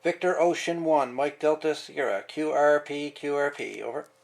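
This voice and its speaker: A man operating an amateur radio, calling out and repeating call signs in the phonetic alphabet in measured phrases. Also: on the high side, creaky. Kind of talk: ham radio contact calls in phonetic alphabet. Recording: very noisy, heavy radio static. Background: radio static and hiss.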